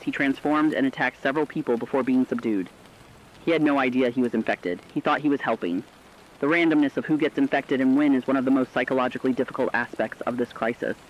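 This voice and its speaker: A man's voice: mid-range voice, dull audio, slight background noise, close to an everyday voice. A man playing a concerned, empathetic radio co-anchor, giving scripted commentary in an earnest, sombre, reflective way. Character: concerned, empathetic male radio co-anchor dwelling on human suffering. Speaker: news co-anchor.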